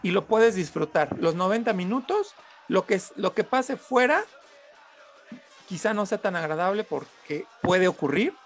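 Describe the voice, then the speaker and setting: A man's voice: high voice, animated, a little slow, soft background music. A Mexican man arguing his point in a casual panel discussion, often feeling his way through sentences.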